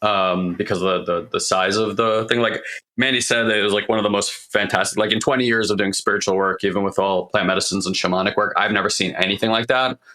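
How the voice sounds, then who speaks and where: A middle-aged man, hoarse after losing his voice, co-hosting a podcast and talking earnestly in a rambling way, restarting sentences often. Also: fairly low pitch, steady tone, quick pace, bright, forceful tone. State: voice partly lost, hoarse. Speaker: podcast co-host. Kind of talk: casual spiritual coaching talk.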